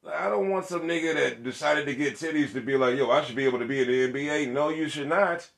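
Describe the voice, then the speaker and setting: Brash joking man with a gravelly voice. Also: a little high, fast talker, echoey room. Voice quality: monotone delivery. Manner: brash, joking, crude banter. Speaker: podcast co-host. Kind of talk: casual chat.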